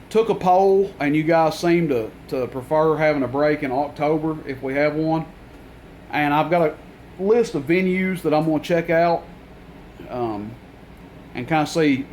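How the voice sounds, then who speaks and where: A man's voice: fairly high pitch, measured pace, darkish tone. Man in a rambling product rundown, noisy recording. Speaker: radio and amplifier seller presenting inventory. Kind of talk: informal product rundown to camera. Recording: noticeable background noise.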